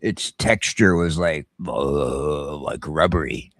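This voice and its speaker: A man's voice: rumbling low, slow pace, a bit bright, dry recording, clean studio sound. A middle-aged American man hosting a casual, comedic morning chat show, telling rambling stories with crude humor. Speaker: talk show host.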